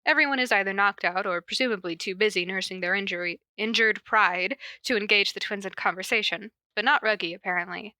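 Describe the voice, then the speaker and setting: A woman's voice: mid-range voice, a little fast, few pauses, pushed and bright, no echo. A woman podcast host cold-reading a story aloud, with casual, self-deprecating asides.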